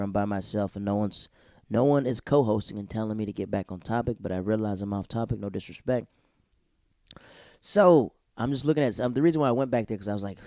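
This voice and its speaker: Rambling young man with a dark voice. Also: on the low side, no echo, clean studio sound. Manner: rambling, digressive, slangy. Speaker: solo podcast host. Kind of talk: casual TV episode review.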